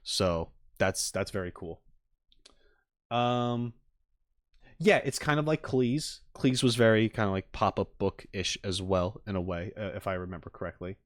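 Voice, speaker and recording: A man's voice: lowish voice, a little slow, no echo, clean studio sound. A man hosting a stream, giving casual, enthusiastic and analytical reaction commentary in a rambling way as he talks with chat. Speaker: streamer host.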